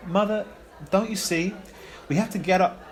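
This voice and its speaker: A man's voice: high-pitched, steady tone, normal speed. A man acting a polite, uneasy young son in a radio play, speaking in a hesitant, placating way. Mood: apologetic, pleading.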